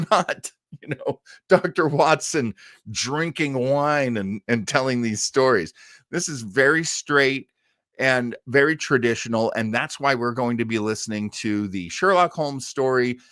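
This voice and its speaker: A man's voice: medium pitch, a bit bright, dry recording, studio quality. A middle-aged or older American man hosting a live online show, chatting casually with rambling, chuckling asides. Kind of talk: casual livestream chat and announcements.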